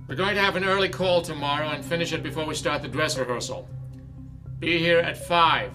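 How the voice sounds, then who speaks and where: A man's voice: a little high, natural voice. A man acting in a radio drama as a stern, exasperated theatre director, commanding and sarcastic. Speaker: actor.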